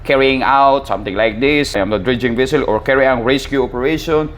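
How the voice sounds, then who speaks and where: A man casually teaching a hands-on equipment tutorial as an instructor, halting and repetitive, often addressing his listeners directly. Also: mid-range voice, slight background noise. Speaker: maritime instructor and channel host.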